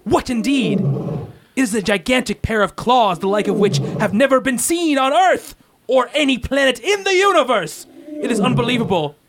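A man's voice: extremely high pitch, lively intonation, moderate pace, noisy background. A man narrating a comic-book radio play in a theatrical, portentous scripted style.

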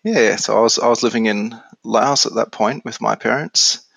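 An Australian man in his forties explaining things casually as an interview guest on software. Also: on the low side, normal speed, creaky, husky, no room sound. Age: 40s.

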